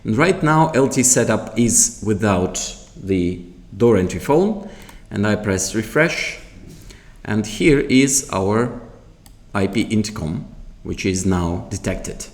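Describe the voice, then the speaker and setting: A man giving an unscripted product tutorial in a halting, explanatory way. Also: lowish voice, animated. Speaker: product demonstrator.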